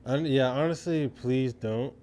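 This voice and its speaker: A man with a medium-pitched voice chimes in on a casual podcast. His remarks are short and informal, heard over some background noise. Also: unhurried, warm tone. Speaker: podcast co-host.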